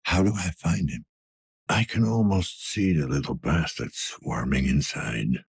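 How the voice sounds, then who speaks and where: An actor playing a weary, gruff older police detective in a cyberpunk video game, with a gravelly, breathy rasp and a terse, dry manner. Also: male voice, extremely low pitch, very animated, a little slow, full character voice. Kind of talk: scripted game character dialogue.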